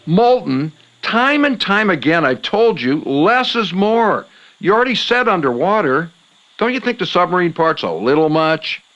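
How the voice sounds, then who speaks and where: Late-night conspiracy host, male, acted: highish forceful voice, emphatic, theatrical, irritable streak. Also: very animated, moderate pace, soft background music. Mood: exasperated. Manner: showy, emphatic. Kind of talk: talk radio patter and headlines.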